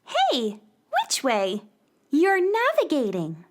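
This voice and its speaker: A woman's voice: high-pitched, wildly swooping pitch, slow pace, exaggerated voice. A woman playing a kind, earnest young space-traveling girl in a children's radio play, sweet and polite. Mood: puzzled. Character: kind, wonder-filled young space cowgirl. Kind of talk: children's radio theater acting.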